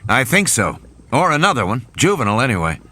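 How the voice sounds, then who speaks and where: A man playing a calm, knowledgeable dinosaur expert who explains things matter-of-factly in an audio adventure story. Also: medium pitch, fast. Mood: calm, explanatory. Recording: sound effects and vehicle ambience behind.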